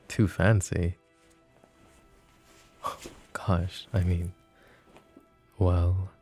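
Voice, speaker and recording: A man playing a deep gravelly mafia boss. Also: heavily acted. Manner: low, soft, teasing drawl. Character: possessive flirtatious male mafia boss, smooth and teasing. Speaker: voice actor. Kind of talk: ASMR roleplay audio drama.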